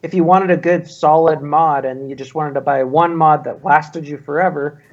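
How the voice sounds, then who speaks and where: Higher-pitched American man, lo-fi. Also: fairly even intonation, normal speed. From United States. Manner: rambling, frequent "like" and "you know". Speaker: vaping talk show panelist. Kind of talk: casual chat.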